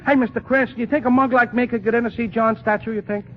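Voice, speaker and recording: Excitable high-voiced New York cabbie. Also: male voice, fast. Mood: impressed, eager. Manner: slangy, repeats his phrases, brash and chummy. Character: good-natured, slangy, excitable working-class city cabbie. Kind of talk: 1940s radio acting. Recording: scratchy, dull 1940 radio recording.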